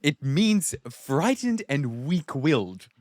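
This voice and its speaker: Male actor as mocking young buddy, sarcastic and overplayed, voice pushed higher, swooping, comedy table read. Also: sing-song, takes it slowly, darkish tone, dry recording, clean studio sound. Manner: exaggerated, sarcastic delivery. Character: mocking, sarcastic young man, slacker's bantering best friend. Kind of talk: overacted comedy script read.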